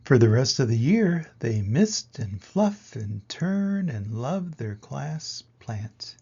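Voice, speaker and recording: An older man reading a children's picture book aloud in an expressive way, with dramatic pauses and emphasis. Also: medium pitch, slightly rough, muffled recording. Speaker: reader of children's picture books. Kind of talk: animated storybook read-aloud.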